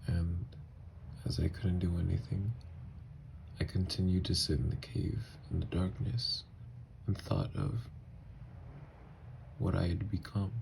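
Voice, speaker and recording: A man playing an anguished, newly turned vampire in an intimate ASMR roleplay, speaking in a halting, hushed, gravelly voice. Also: low voice, steady tone, slight background noise, full character voice. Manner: halting, hushed, breathy delivery with long pauses.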